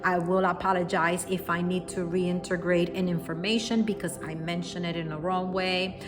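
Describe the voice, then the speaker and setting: A woman with a strong Puerto Rican accent talks straight to her viewers in an earnest, reassuring way, teaching without a script. Her voice sits on the low side for a woman. Music plays clearly underneath her the whole time. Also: flat delivery, normal speed. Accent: Puerto Rican Spanish. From Puerto Rico. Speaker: spiritual teacher. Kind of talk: unscripted spoken lesson to camera. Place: at home, quiet room. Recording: music playing behind the voice.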